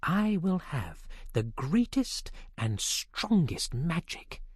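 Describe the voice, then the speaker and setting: A narrator voicing a calculating young sorceress who speaks quietly and deliberately. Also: big pitch swings, husky, dry recording, studio quality, exaggerated voice. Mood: scheming. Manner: quiet, calculating, low-volume. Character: scheming young female sorceress, cold. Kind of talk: audiobook character voice.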